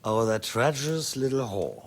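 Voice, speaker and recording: A man playing a hot-tempered, imperious medieval king with a raspy voice in a video game cutscene. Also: medium pitch, very animated, normal speed. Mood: outraged.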